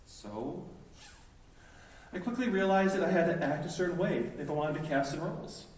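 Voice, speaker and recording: A man delivers a theatrical solo monologue in a fairly high, very animated voice. His delivery is dramatic and expressive, recorded in a strongly echoing performance space with music behind. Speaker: writer and solo stage performer. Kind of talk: theatrical scripted autobiographical monologue. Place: indoor live performance space. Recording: echoey live stage, distant mic, music behind.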